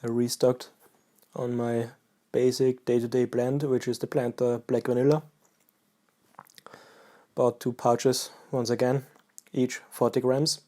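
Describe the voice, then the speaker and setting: A man from Austria with an Austrian German accent, a native German speaker, chatting casually and haltingly to camera as a pipe-smoking vlogger. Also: mid-range voice. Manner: halting, relaxed, pauses mid-sentence.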